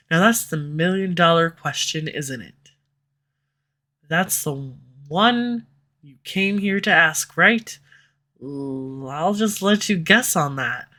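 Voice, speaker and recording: A woman's voice: lowish voice, slow, a bit bright. A woman voice actor playing a proud, guarded female villain who swears and turns defensive when pushed, recorded on a USB condenser mic. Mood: defensive, heated. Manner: curses often, defensive and flustered when pressed. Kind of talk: audio roleplay acting. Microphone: USB condenser microphone.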